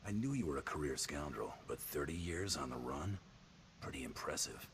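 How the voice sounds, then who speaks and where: Game bounty hunter: guarded, all business, clipped deadpan delivery, exceptionally deep register. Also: male voice, moderate pace, slight background noise, full character voice. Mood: dry, guarded. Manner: terse, deadpan. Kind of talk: video game dialogue.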